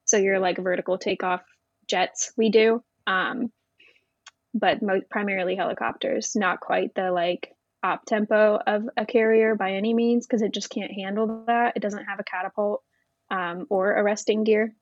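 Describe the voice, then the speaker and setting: A woman with a mid-pitched voice talks informally as a guest in a conversation, explaining as she goes. The recording is dry and close, with a slightly dull, lo-fi tone. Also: fairly even intonation, moderate pace, no echo. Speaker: podcast guest, Navy veteran. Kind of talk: casual interview chat.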